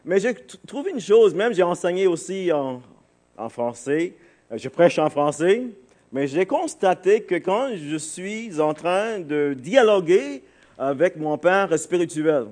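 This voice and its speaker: Higher-voiced man preaching, halting delivery. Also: expressive, darkish tone. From Barbados. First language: English. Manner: halting, searching for words. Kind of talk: informal sermon to a congregation.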